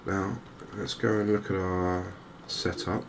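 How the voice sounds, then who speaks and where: A man softly narrating a game in ASMR style, hushed and hesitantly thinking aloud. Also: low voice, level tone, takes it slowly, vocal fry. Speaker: gaming video host. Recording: noticeable background ambience noise. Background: game ambience.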